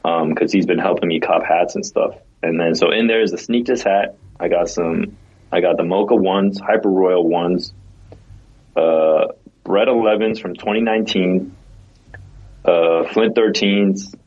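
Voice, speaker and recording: Low husky man, muffled audio. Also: steady tone, measured pace, darkish tone. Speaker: podcast co-host. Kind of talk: casual chat.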